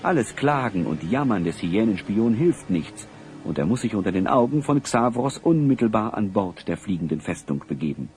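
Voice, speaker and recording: A man's voice: on the low side, very animated, normal speed, a little mellow, faint music behind. A man narrating an audio drama in a scripted storytelling style.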